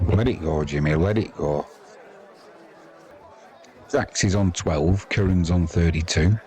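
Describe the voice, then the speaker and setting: Low male voice, informal sports commentator, chatty, self-deprecating, crowd behind him. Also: slow, a little mellow. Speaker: live cricket commentator and stream host. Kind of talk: casual live sports commentary chat.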